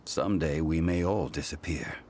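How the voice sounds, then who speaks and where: An actor playing an ancient god in mortal guise for a video game, solemn and composed, speaking in formal, measured, contemplative phrases. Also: male voice, a bass voice, background noise. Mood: solemn, reflective. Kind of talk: scripted video game character dialogue.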